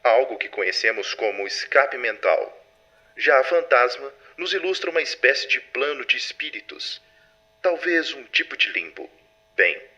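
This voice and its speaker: A man's voice: medium pitch, pushed and bright, dull audio. A Brazilian man playing a composed, authoritative superintendent of a secret organization in an audio drama. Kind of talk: formal scripted archive recording, audio drama. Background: music.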